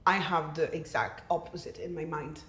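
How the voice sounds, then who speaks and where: A frank young woman from Belgium, speaks English in a slightly low voice. She tells her story in an animated way, full of "like"s and restarts. The room around her rings with a noticeable echo. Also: moderate pace. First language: French. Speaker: podcast guest. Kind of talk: casual conversation. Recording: roomy echo.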